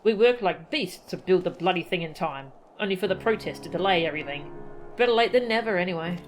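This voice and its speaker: A woman's voice: fairly low pitch, lively intonation, fast, soft background music, lightly acted, rough recording. A woman voicing a coarse, grumbling labourer from a fantasy game as she reads the dialogue aloud.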